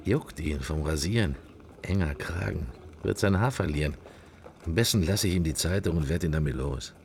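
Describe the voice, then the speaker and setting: A man's voice: sits low, moderate pace, gravelly, a little mellow, a little hiss or hum. An actor playing a wry middle-aged everyman musing to himself in clipped fragments in a radio play. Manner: clipped, wandering interior monologue. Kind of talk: intimate literary radio play monologue.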